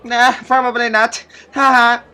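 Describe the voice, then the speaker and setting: A man's voice: very high-pitched, little pitch movement, measured pace, pushed and bright, clear, resonant tone, some chatter behind, pitched above the performer's own voice, exaggerated voice. A man voicing a witty, playful teenage girl who teases her friend and gets easily flustered, in a visual novel. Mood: teasing.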